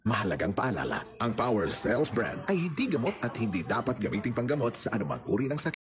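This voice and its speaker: A man's voice: mid-range voice, sing-song, quick pace. A man reading a scripted advertising disclaimer as an announcer. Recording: music bed behind the voice. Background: music.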